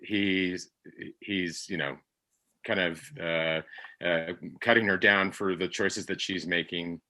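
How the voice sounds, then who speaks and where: A man's voice: deep voice, fairly even intonation, takes it slowly, sharp tone. A man on a podcast panel talking casually, hesitating as he works through his thoughts. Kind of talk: casual discussion.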